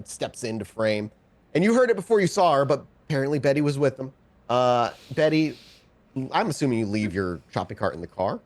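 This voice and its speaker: A man's voice: mid-range voice, darkish tone. A man narrating a tabletop game casually as storyteller, with vivid, salty descriptions.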